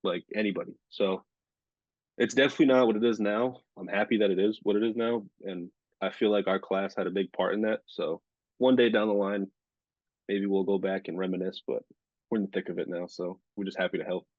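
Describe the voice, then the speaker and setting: Casual guest, twenties, flat monotone, deep-ish voice, dry close mic. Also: male voice, a little fast, dry recording, muffled recording. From Rhode Island. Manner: monotone delivery, filler-heavy. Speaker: sports reporter and anchor, podcast guest. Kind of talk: casual interview chat.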